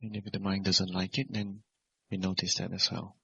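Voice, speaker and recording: A man teaching guided meditation as a Buddhist monk, speaking in measured, simple phrases and gentle questions. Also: deep voice, flat delivery, creaky, slight background noise. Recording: dull, lo-fi recording.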